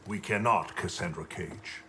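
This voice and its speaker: A man's voice: deep voice, measured pace, pushed and bright. A man playing a solemn, burdened warrior god with formal, weighty speech in a video game cutscene. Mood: grave. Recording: clear background noise, ambience.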